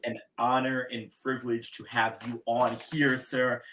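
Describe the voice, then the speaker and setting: A man's voice: medium pitch, level tone, measured pace, fairly bright tone, poor audio. A man hosting an interview podcast with an emphatic, theatrical delivery that draws out words like an announcer. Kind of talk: showy guest introduction and casual interview talk.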